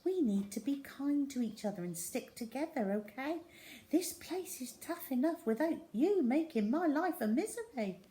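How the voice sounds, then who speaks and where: A woman's voice: high voice, expressive, normal speed, mellow, voice pushed up. A woman voicing a plucky, kind little schoolgirl in a children's storybook, earnest and confiding in manner.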